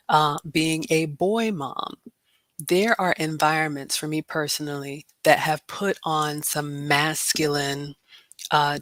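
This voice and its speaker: A middle-aged woman hosts a group talk, reflecting on her own life. Her voice sits a little low for a woman, and she phrases things in a measured, deliberate way. The sound is dry, with little room echo. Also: a bit bright. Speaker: host.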